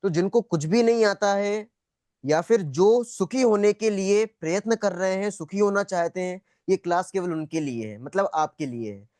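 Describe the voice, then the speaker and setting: A man with a high-pitched voice teaches a spiritual class on a video call. He talks conversationally, repeating his points and asking questions to check that listeners follow. The sound is dry, with little room echo. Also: moderate pace, darkish tone. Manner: conversational, repetitive, checking understanding often. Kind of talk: interactive lecture, questioning students.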